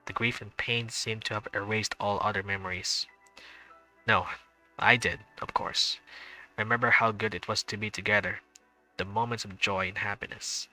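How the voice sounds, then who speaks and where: A man's voice: on the low side, steady tone, normal speed, sharp tone, faint music behind, rough recording. A man streaming a visual novel, reading the narration aloud and adding chatty, hesitant asides. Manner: chatty asides, hesitant with fillers when unsure. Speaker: gaming streamer.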